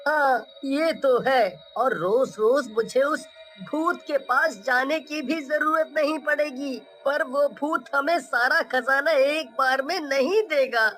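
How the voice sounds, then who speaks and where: A woman's voice: sits high, slow, fairly bright tone, faint music behind, low-quality recording. A woman voicing an eager, greedy village husband with a coaxing manner in a cartoon story. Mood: scheming. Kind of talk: animated storytelling.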